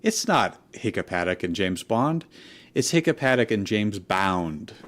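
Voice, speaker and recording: Slow, swooping man co-hosting comedy. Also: lowish voice, very animated, fairly bright tone. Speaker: fanfiction show co-host. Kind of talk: casual comic chat and reading aloud.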